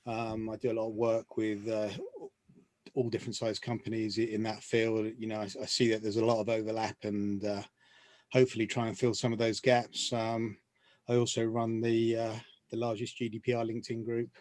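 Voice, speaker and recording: Flat-voiced man, hesitant intro. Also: lowish voice, normal speed. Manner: hesitant, with many fillers. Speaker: panelist.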